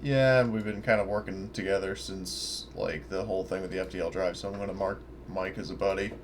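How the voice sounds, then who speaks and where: A man's voice: deep voice, steady tone, brisk, background noise. A man playing a tabletop roleplaying game, chatting casually and thinking aloud as he describes his character's actions.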